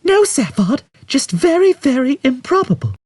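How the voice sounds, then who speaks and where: A man voicing a patient, firm woman aboard a spaceship in an audiobook, bright and compassionate in manner. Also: very high-pitched, very animated, moderate pace, dry recording, studio quality, voice pushed up. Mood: matter-of-fact.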